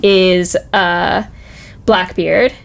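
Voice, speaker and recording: A woman's voice: lowish voice, extremely slow, vocal fry. A young woman chatting casually and informally on an office podcast, peppering her talk with "like" and "you know". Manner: animated agreeing, informal, frequent "like" and "you know". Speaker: podcast guest.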